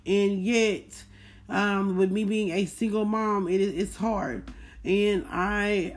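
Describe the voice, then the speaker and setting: A woman chats casually to her podcast listeners. She has a mid-pitched voice and a folksy, down-to-earth delivery. She leans on key words and repeats them to drive a point home. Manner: folksy, addresses listeners as y'all. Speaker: host of a personal book club podcast. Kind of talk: casual chat, recapping a book.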